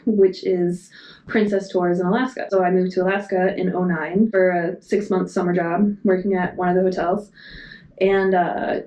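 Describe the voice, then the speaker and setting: A woman's voice: mid-range voice, reverberant, dull audio. A woman from Massachusetts telling her life story in a casual interview, rambling and matter-of-fact, with plenty of fillers. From Massachusetts, USA. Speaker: Antarctic program support worker.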